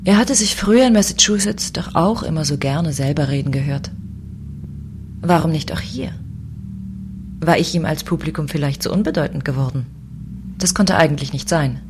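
A woman playing a proud, combative chief space commissioner, crisp and sardonic, in a science fiction radio play. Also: sits low, normal speed, long pauses, noisy background. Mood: brooding, wounded pride. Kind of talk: radio play dialogue and inner monologue.

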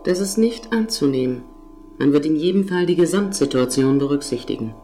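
A woman's voice: low voice, expressive, fairly quick, mellow, faint music behind. A woman playing a female artificial intelligence of a space station in a science fiction audio drama, formal, matter-of-fact and dryly mocking. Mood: coolly officious. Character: cool, precise female AI of a space station, subtly jealous.

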